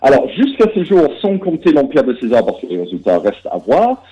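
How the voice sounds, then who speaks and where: A man's voice: medium pitch, huge pitch swings, brisk, warm tone, soft background music. A man from Britain with a British English accent, a board game publisher answering interview questions in French with many fillers and restarts. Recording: dull lo-fi audio.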